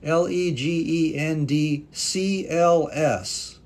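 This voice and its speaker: Man, native english speaker, live-stream english teacher, unhurried delivery, somewhat high pitch, patient. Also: darkish tone. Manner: patient, reads viewer comments aloud and thanks them. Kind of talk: live-stream language class chat.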